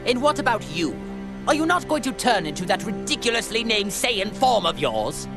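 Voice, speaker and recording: Haughty alien tyrant, imperious, formal, expressive pitch swings, disdainful, loud music underneath, game cutscene. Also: male voice, moderate pace. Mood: mocking. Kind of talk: animated game cutscene acting.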